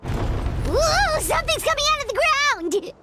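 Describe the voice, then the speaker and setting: An extremely high, bright, squeaky fairy sidekick, tiny and childlike. She is naive and exclamatory in a cartoonish game style, her pitch leaping wildly. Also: sing-song, unhurried, slight background noise, full character voice. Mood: startled. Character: tiny, excitable, childlike female fairy companion. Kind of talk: cartoonish video game acting. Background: music.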